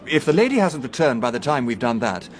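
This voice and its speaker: An actor playing a measured, sceptical professor, formal and precise, in a radio drama. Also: male voice, medium pitch, big pitch swings, natural voice. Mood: considered. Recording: clear train noise behind.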